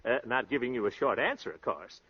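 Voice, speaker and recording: An actor playing a boastful, breezy American husband, a glib, slangy schemer in a 1930s radio comedy-drama. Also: male voice, mid-range voice, expressive, a little fast, breathy edge. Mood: breezy, cocky. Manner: glib, pompous, peppered with slang and nicknames. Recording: dull lo-fi old radio recording.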